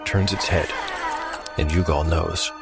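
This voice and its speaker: A man's voice: a bass voice, steady tone, slow, creaky, loud music behind the voice. A man narrating a tabletop role-playing game as a dramatic story, calling out the dice rolls in a theatrical way. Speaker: solo game narrator and player.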